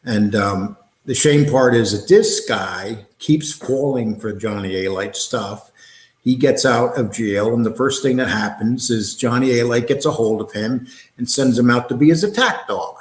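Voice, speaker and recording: A man's voice: medium pitch, a little slow. A man hosting a casual livestream, talking in a blunt, combative, streetwise way. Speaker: show host. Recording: roomy, echoey sound.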